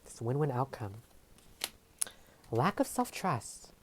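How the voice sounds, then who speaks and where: A woman's voice: rumbling low, very animated, slow, a little hiss or hum. A woman whose first language is Tagalog, giving a rambling tarot reading full of fillers and trailing phrases. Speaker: tarot reader. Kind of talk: casual tarot reading monologue. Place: home filming setup. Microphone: overhead camera setup.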